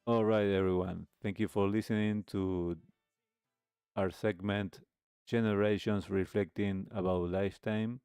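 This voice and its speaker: A man hosting a talk show, delivering a reflective monologue in measured, deliberate phrases with pauses. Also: fairly low pitch, steady tone, a little mellow, smooth, no echo, studio quality, faint music behind. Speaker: radio show host.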